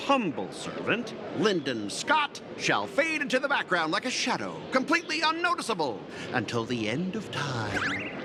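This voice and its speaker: A man's voice: a little high, huge pitch swings, moderate pace, noisy background. A man voicing a fawning, melodramatic corporate underling in a video game, with an obsequious, bombastic, exaggerated manner. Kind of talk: video game character dialogue. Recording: clear music behind the voice. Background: game music.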